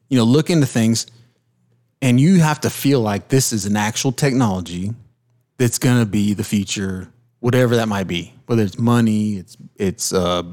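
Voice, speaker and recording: A man with a middle-pitched voice hosts a casual podcast chat. He argues his point emphatically and cuts in often to push it. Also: a little mellow. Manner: emphatic, keeps interrupting to make his point. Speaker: podcast host.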